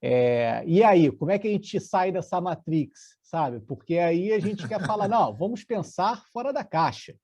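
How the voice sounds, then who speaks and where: A man co-hosting who asks the guest questions in a playful, lively way. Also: high-pitched, measured pace, hardly any pauses. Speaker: co-host. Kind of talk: casual interview question.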